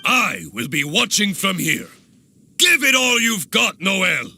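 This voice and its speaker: An actor playing an exuberant adventurers' guild examiner in a video game, speaking in a theatrical, emphatic way. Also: male voice, high-pitched, very animated, a little slow, pushed and bright, breathy edge, dry recording, soft background music, exaggerated voice. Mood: rousing, dramatic. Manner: theatrical, emphatic, grandly encouraging.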